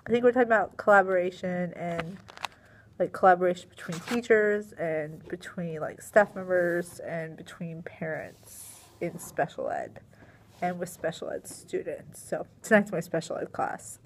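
Woman, 20s, teacher, worn-out voice, lowish pitch, rambling chat, laptop webcam, small classroom. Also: slight background noise. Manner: rambling, filler-heavy, sighing. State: tired and sleepy; strained voice. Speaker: middle school science teacher. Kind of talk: casual video diary. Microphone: laptop webcam at desk.

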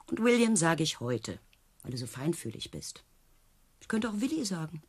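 A scornful circus horsewoman in a radio play. Her voice is low and husky, her delivery dry and mocking with lively swings in pitch. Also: moderate pace. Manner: dry, sardonic. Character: mocking, discontented female circus trick rider.

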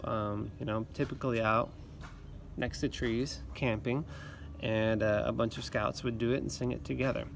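A man in his thirties talking to students as a music teacher on an instructional video, explaining simply and encouragingly, recorded outdoors. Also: medium pitch. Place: outdoors in a leafy yard. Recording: outdoor recording with clear ambient noise.

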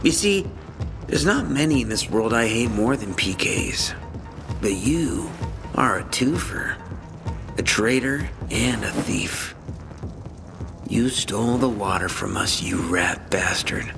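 A cocky young male gang thug from a video game, sneering and taunting with vengeful menace. His pitch leaps around a lot, and loud music plays behind him. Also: lively intonation, slow pace, gravelly, full character voice. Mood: menacing contempt. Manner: taunting, mocking, foul-mouthed. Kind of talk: dramatic video game acting.

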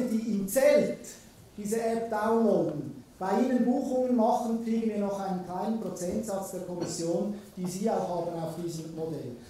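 A man's voice: sits high, warm tone, slight background noise. Swiss German man, echoey distant hall. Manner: conversational, frequent filler sounds. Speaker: keynote speaker. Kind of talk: conference keynote talk. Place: large conference hall. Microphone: distant room recording.

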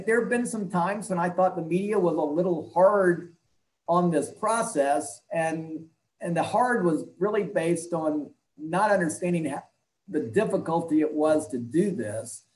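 A man in his sixties giving measured, halting answers as an interview guest over a video call from an indoor room. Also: a little high, a little mellow, roomy sound, low-quality recording. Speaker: interview guest, health clinic leader and doctor.

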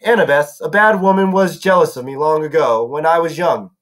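Male reader voicing an old woman: sweet, lonely, quietly menacing; soft coaxing delivery, formal phrasing. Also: a little high, fairly quick, lightly acted. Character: sweetly sinister old woman, lonely garden statue maker.

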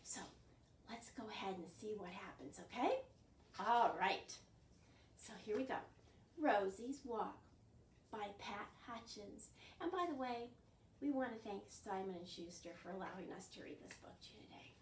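An older woman hosting storytime for young children, speaking in an animated, clearly enunciated way and asking them questions. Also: mid-range voice, moderate pace. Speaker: children's storytime host at a library. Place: living room at home. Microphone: camera a few feet away. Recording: roomy sound, distant microphone.

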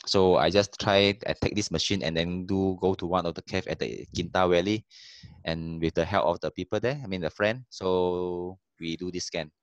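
Man presenting over a video call, very low voice, dry sound, stops to hunt for words. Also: steady tone, clear, resonant tone, no echo, dull audio. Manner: halting, searches for words. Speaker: cave explorer presenting. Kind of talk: casual online presentation.

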